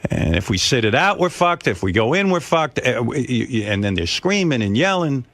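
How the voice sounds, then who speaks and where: A man's voice: medium pitch, sing-song, brisk, a bit bright. A man hosting a radio talk show, ranting emphatically with plenty of profanity. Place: radio studio. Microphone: studio microphone.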